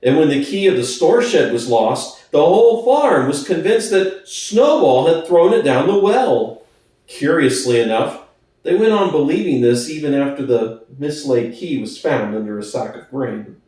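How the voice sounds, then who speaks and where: A man's voice: fairly high pitch, lively intonation, unhurried, warm tone. A man reading a classic novel aloud as a dramatic scripted narration. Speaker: audiobook narrator. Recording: very echoey room, distant from the mic.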